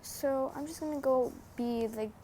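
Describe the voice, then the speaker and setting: High-pitched young woman, slangy gamer chat. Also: measured pace, mellow, slight background noise. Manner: slangy, sweary, teasing. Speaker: gaming vlogger. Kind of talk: casual gameplay commentary.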